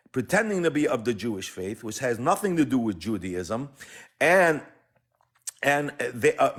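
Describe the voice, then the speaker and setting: A man speaks as a guest caller on a live stream, with a mid-pitched voice. His political talk is emphatic and impassioned, and he repeats phrases to drive a point home.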